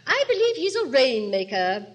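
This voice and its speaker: A woman's voice: high-pitched, big pitch swings, moderate pace. An actress playing a genteel, naive, fluttery society lady in a 1940s radio comedy. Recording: noisy vintage 1940s radio recording.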